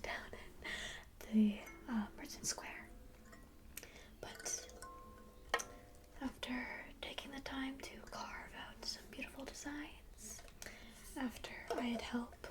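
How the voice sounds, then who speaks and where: Kindly kit spellcaster played by a woman, high-pitched and whispered, gentle, rambling, welcoming, for fantasy ASMR roleplay. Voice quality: soft, breathy whisper. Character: kindly, chatty cat-like kit spellcaster, musical healer.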